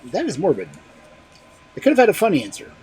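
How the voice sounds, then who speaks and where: A man hosting a live stream, reading fairy tales aloud in an animated storytelling style with casual asides. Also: sits high, fast talker, a little hiss or hum.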